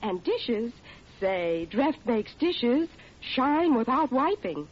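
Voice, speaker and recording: A delighted, gushing housewife in a 1940s radio commercial. Her voice is fairly high and husky, with emphatic delivery. The vintage broadcast is muffled. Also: very animated, slow pace, exaggerated voice. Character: delighted housewife giving a product testimonial.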